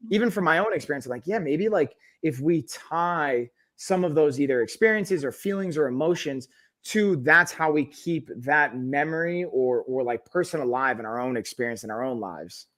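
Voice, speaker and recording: Man, podcast host, rambling, thoughtful, casual chat, slightly high pitch. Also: fairly bright tone. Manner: rambling, full of "like" and restarts, thoughtful.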